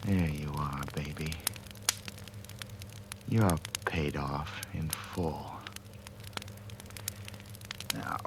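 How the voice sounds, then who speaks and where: A menacing male crook in an old radio thriller. His voice is deep and creaky, and he taunts with slow, false courtesy. The old recording is clearly noisy. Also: fairly even intonation, full character voice. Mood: gloating menace. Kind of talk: 1940s radio suspense acting.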